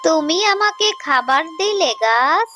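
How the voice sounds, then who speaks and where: A woman's voice: high voice, animated, a little slow. A woman voicing a poor, hopeful mother fox in a children's cartoon, earnest and pleading. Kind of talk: Bengali children's cartoon dialogue. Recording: faint music behind the voice.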